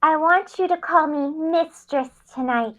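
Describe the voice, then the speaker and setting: A woman playing a commanding young mistress in an amateur fan fiction reading. Her voice sits very high and bright, with formal, deliberate phrasing. The recording is slightly dull and lo-fi. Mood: coaxing, commanding.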